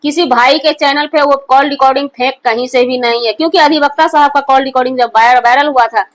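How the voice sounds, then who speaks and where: A woman's voice: high-pitched, fairly even intonation, fast talker. A woman gives opinionated news commentary in an emphatic, repetitive and accusatory manner. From India. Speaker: online commentary host.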